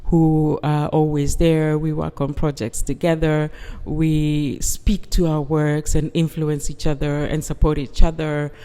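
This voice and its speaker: Calm, affirming woman in a reverberant hall. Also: low voice, clear, resonant tone. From Uganda. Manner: measured, affirming, says "yes" often. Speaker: guest artist. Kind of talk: calm conversational interview.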